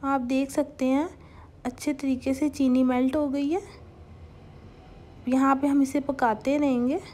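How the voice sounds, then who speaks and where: A young woman hosting a home cooking channel, calmly talking viewers through a recipe step by step and mixing English cooking terms into her speech. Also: high-pitched, unhurried. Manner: calm, instructive, mixes English cooking terms into Hindustani speech.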